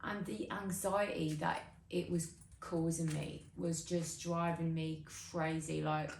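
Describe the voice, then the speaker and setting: A woman's voice: sits low, flat delivery, slow pace, creaky, darkish tone, heavily acted. Woman acting a chatty young musician, echoey room. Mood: chatty, confiding. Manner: chatty, slangy, earnest. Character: upbeat, zealous young British musician obsessed with perfect teeth. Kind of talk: monologue performed as a casual interview. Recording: roomy echo, background noise.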